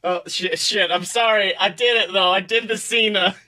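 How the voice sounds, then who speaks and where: A man with a bright, forceful voice co-hosts a comedy podcast. He is playful and excitable, with a giggly, casual way of bantering. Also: sits high, normal speed. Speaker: podcast co-host and author.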